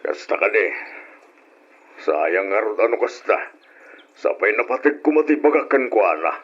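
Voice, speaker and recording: A man's voice: lowish voice, sing-song, moderate pace, husky, highs cut off. A man acting in an old radio drama as a shameless, argumentative, wheedling husband who feels owed by his relatives. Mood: sheepish, wheedling.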